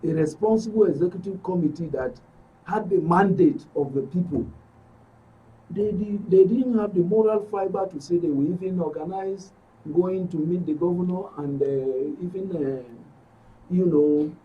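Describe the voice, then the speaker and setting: A man's voice: sits high, normal speed. A man with a Nigerian accent arguing his points insistently as a guest in a panel discussion. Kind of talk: argumentative studio discussion. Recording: dull, distant, faintly noisy mic.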